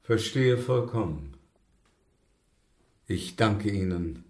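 Brooding gentleman lodger, echoey room. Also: lowish voice, animated, slow. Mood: quiet, cool. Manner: formal, courteous, brooding. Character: secretive, persecuted gentleman lodger, polite but suspicious. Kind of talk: radio drama acting.

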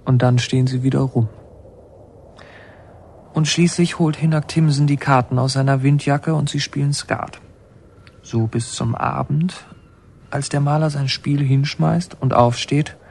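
A reflective son narrating a radio drama. His medium-pitched male voice is mellow with a lot of gravelly fry, and he tells it in measured, literary phrases over faint ambience. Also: fairly even intonation, a little hiss or hum. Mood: observant. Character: reflective son narrating his policeman father's war story.

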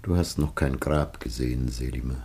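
An older man acting in a radio drama as a grieving, solemn old patriarch facing death, husky and gravely declamatory. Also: rumbling low, moderate pace, darkish tone, exaggerated voice. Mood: grave resignation.

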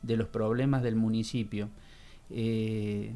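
A man's voice: lowish voice, little pitch movement, slow pace, dark tone. A man with an Argentine accent, a political candidate being interviewed on radio, speaking earnestly and repeating words as he searches for them.

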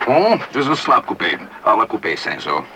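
A man's voice: lowish voice, wildly swooping pitch, normal speed. An actor playing a busy, easygoing father in a radio play, muttering little asides as he organises things. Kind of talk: radio play acting, natural dialogue. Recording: noisy radio play sound scene.

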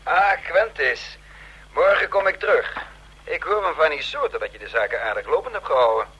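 A polite, self-possessed intelligence boss in a radio play. He speaks formally in a sharp-toned, slightly rough voice, measuring his words with small hesitations. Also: fairly high pitch, animated, normal speed, highs cut off, exaggerated voice. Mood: courteous. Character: courteous, self-possessed male intelligence chief. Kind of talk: Dutch radio drama acting.

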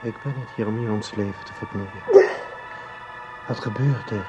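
An actor playing a learned time traveller in a radio play, calm, formal and didactic. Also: male voice, on the low side, measured pace, over music, close to an everyday voice. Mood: grave, earnest. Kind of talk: Dutch radio play acting.

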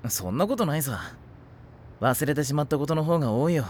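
A man's voice: on the high side, animated, fairly quick, a little hiss or hum, natural voice. An actor playing an easygoing, kind teenage boy in an anime audio drama, speaking casually and plainly. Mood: nostalgic, gentle.

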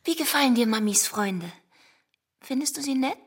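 An actress playing a gushing, flirtatious society wife in a radio drama, breathy, playful and theatrical. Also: female voice, high-pitched, animated, normal speed, full character voice. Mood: gushing, doting.

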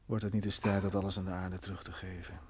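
A man's voice: low-pitched, flat delivery, fast, a little mellow, slight background noise, natural voice. An actor playing a bitter, brooding drunk man in a Dutch radio play, sardonic, morose and terse in manner. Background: faint music.

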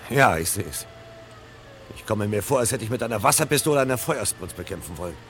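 A man's voice: lowish voice, expressive, a little fast, a bit bright, a little hiss or hum. An actor playing a troubled, self-doubting fireman in a radio drama, brooding and agitated in manner. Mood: self-reproachful. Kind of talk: 1970 German radio drama acting.

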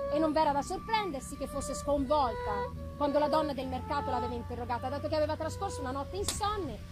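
Very high-voiced woman narrating solemnly. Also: poor audio. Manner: expressive, deliberate, solemn. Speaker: reader. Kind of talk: dramatic literary narration. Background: live music.

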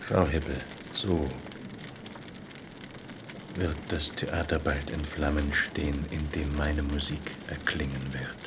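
An actor playing a brooding, feverish composer in a radio drama, murmuring slowly. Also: male voice, very deep voice, flat delivery, noisy background. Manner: slow, murmuring. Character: brooding, feverish composer, a tormented romantic man. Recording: music swelling loudly around the voice.

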